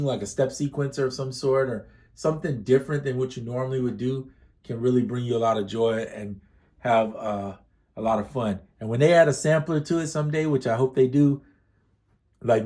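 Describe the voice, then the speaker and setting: A man with a mellow, relaxed tone talks casually through a music gear demo. He rambles, corrects himself mid-sentence and leans on plenty of fillers. He sounds slightly congested. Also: mid-range voice.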